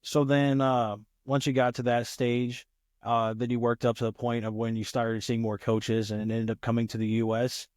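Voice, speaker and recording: Young male host, casual chat, mid-pitched voice, dry close sound, long meandering questions. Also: level tone, normal speed, darkish tone, dry recording. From Nebraska, United States. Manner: rambling, heavy use of "like". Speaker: podcast host.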